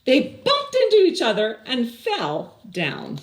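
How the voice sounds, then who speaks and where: Slow, very expressive older woman. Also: fairly high pitch, a little hiss or hum. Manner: expressive, asks young listeners questions. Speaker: children's library story time host. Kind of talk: picture-book read-aloud and chatting to children. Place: small indoor room with blinds.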